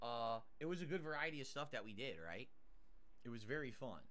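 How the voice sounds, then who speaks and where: A man's voice: medium pitch, expressive, fairly quick, crowd noise. A man streaming live, chatting casually as he eats and reads out viewer tips and thanks. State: mouth burned, nasal drip. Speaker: livestreamer.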